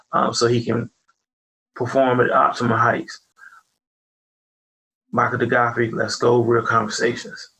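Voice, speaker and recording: A man's voice: mid-range voice, vocal fry, fairly bright tone, rough recording. A man hosting a podcast, chatting casually and joking with his guest. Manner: playful, joking banter with guest. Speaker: podcast host. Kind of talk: casual interview chat.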